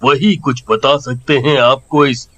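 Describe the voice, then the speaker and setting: A respectful village headman in a horror audio story acted by a man. He talks very slowly at an ordinary male pitch, earnest and deferential. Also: steady tone, fairly bright tone. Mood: helpful.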